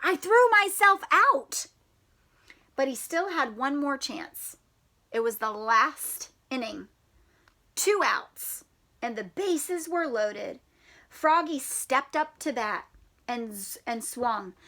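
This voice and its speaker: Slow-paced, high-voiced woman teacher. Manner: animated, encouraging, questioning. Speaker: teacher reading aloud to children. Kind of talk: children's read-aloud with questions.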